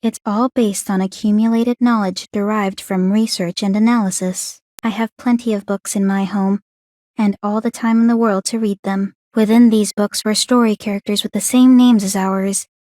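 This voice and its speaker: A woman's voice: medium pitch, dark tone, no echo, clean studio sound, natural voice. A woman playing an aloof, bookish young warrior maiden in a video game, speaking in a composed, formal, precise and detached way. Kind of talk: English video game voice acting.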